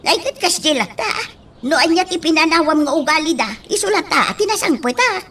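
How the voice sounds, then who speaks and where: A lively, suspicious busybody, played by a woman with an Ilocano accent. The voice is high and highly animated, throwing out theatrical questions and exclamations in a comic radio drama. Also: brisk. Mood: curious.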